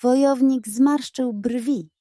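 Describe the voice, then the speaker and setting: Slow, mellow woman storyteller. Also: high voice, dry recording, clean studio sound. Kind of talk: scripted bedtime story narration for children.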